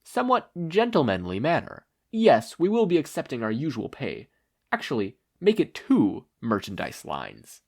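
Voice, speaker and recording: A man performs a theatrical gentleman hero in a story reading. The voice is lifted and swoops grandly through florid, old-fashioned phrases, pompous yet unfailingly courteous. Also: medium pitch, wildly swooping pitch, moderate pace, pitched above the performer's own voice. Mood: pleased, businesslike.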